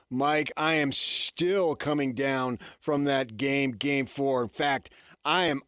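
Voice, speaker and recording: A man's voice: medium pitch, fairly bright tone, no room sound, clean studio sound. A man hosting a sports radio show, chatting casually as he interviews a guest.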